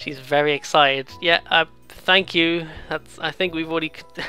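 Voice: mid-range voice, fairly even intonation, moderate pace, sharp tone, smooth, soft background music. A let's play host giving casual, rambling, playful commentary on a video game. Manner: rambling, playful, mimics sounds and game lines.

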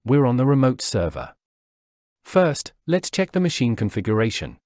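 A man narrating a scripted software tutorial, walking through each step in a steady, instructional way. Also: mid-range voice, normal speed, no room sound, clean studio sound. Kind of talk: scripted software walkthrough voice-over. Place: voice-over over screen recording.

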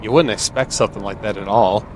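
A man's voice: fairly low pitch, quick pace, darkish tone, slight background noise. A man giving casual gameplay commentary, thinking aloud and asking himself questions as he plays. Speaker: gamer playing and commenting on a video game.

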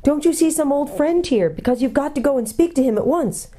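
A woman's voice: high voice, animated, fairly quick, warm tone, pitched above the performer's own voice. A woman voicing an urgent, bossy young girl who pleads insistently and gets exasperated.

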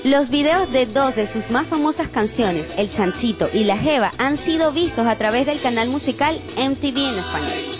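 A woman's voice: sits high, lively intonation, moderate pace, hardly any pauses, over loud music. A woman hosting a TV show, presenting scripted segments from a studio set. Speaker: show host.

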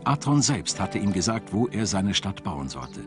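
Male documentary narrator, lower register, measured storyteller's read of a script, laid over prominent music. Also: big pitch swings. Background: background music.

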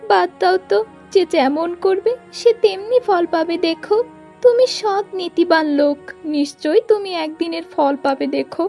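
A woman's voice: extremely high pitch, moderate pace, creaky, dark tone, soft background music. A woman voicing a worried, loyal poor village wife and mother in a cartoon. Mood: consoling. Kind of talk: cartoon fairy tale voice acting.

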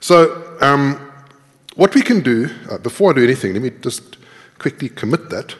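A man's voice: medium pitch, lively intonation, moderate pace. A middle-aged man giving a live coding talk, explaining step by step in a conversational way and putting questions to his audience. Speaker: conference speaker and programming instructor. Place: large tiered lecture auditorium, indoors. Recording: reverberant lecture hall echo.

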